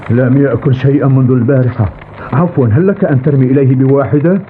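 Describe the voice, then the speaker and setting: A man's voice: mid-range voice, fast, noisy background, natural voice. An actor playing an old, testy and rueful ferryman telling his story in a dubbed cartoon. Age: older. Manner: expressive, grumbling, storytelling. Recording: muffled tinny cartoon soundtrack with music.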